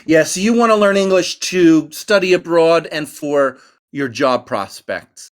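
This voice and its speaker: A middle-aged man with a New York accent hosts an online English class over voice chat. He talks in a friendly, meandering way, filling pauses with ums and starting sentences over. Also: on the high side. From United States. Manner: friendly, rambling with many fillers and restarts. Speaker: English teacher. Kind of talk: hosting an online conversation class.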